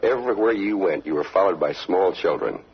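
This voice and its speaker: A deep-voiced American man gives a soldier's eyewitness testimony. His voice is husky, and the old radio interview recording sounds dull. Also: lively intonation, brisk. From United States. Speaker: soldier giving eyewitness account.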